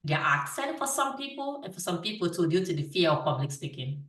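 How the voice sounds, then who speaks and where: Bright-voiced woman host with thick accent. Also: lowish voice, very animated, fairly quick. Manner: laughs often, friendly teasing. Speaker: podcast host. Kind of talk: casual interview chat. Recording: echoey room, distant mic.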